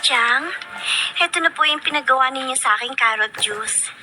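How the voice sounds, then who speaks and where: A woman's voice: sits high, normal speed, close to an everyday voice. A young woman playing a hopeful, respectful niece in a radio drama, polite and eager. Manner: polite, eager, hesitant when asking. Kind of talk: Filipino radio drama acting. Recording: noisy radio drama recording.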